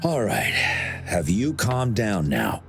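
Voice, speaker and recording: A man's voice: fairly low pitch, huge pitch swings, takes it slowly, vocal fry, faint music behind, heavily acted. A man playing a patient, placating attendant in a video game.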